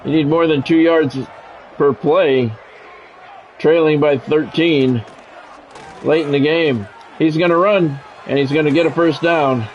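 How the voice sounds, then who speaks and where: A man's voice: a little high, fairly even intonation, unhurried, long pauses, some chatter behind, slight background noise. An American man doing play-by-play commentary on a football game in short, clipped announcer phrases. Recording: dull lo-fi sound, music behind.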